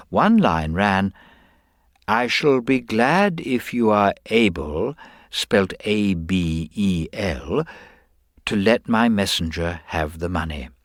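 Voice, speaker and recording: A male narrator plays a quietly shrewd middle-aged investigator in an audiobook. His voice is low and swoops expressively, yet he stays gentle, hesitant and exact, in a dry, close sound. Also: no echo, exaggerated voice. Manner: gentle, hesitant, precise, understated. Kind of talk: dramatised audiobook character dialogue.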